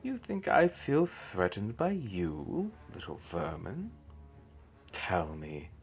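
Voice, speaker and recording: Slow, menacing evil sorcerer, wildly swooping. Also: male voice, low voice, heavily acted. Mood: sneering. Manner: theatrical, drawn-out, sneering delivery. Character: menacing, mocking, grandiose male evil sorcerer. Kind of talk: fan audio drama villain acting. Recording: dull, muffled recording with music behind.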